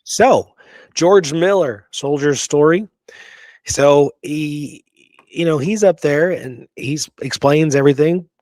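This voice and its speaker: A man's voice: on the high side, takes it slowly, darkish tone, no room sound. A man hosting a history show in a conversational, emphatic way, often checking in with the listener as he explains and reads out accounts. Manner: informal, emphatic, checks in with "okay?" and "you know?". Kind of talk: conversational commentary on history, reading accounts aloud.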